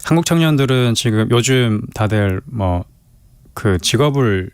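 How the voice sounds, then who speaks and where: A man's voice: medium pitch, animated, unhurried, clear, resonant tone, no room sound. A young man in his twenties from North Korea with a North Korean accent, answering interview questions politely and a bit hesitantly, often laughing lightly. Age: 20s. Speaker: guest. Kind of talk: radio interview. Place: radio studio.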